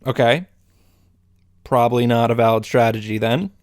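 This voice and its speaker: A man's voice: medium pitch, steady tone, normal speed. A man giving casual, sweary, exasperated commentary as he reacts to a visual novel. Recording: music behind the voice. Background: music.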